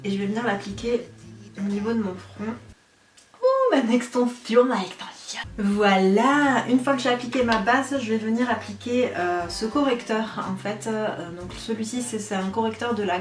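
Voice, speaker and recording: A woman's voice: mid-range voice, moderate pace. A young woman giving a casual makeup tutorial, chatty and self-mocking, with frequent fillers. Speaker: beauty vlogger. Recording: echoey room, voice a bit far from mic, faint music.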